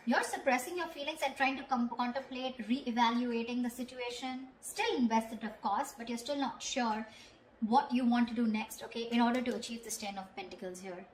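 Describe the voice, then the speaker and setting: A woman giving an improvised tarot reading, talking directly to the listener in a conversational way and often checking in. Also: fairly high pitch. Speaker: tarot reader. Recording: echoey room with faint background noise.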